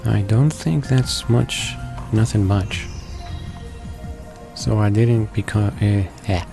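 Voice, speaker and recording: A man reading a visual novel's narration aloud as a let's player, halting and correcting himself. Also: lowish voice, fairly even intonation, gravelly. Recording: game music behind the voice. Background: visual novel background music.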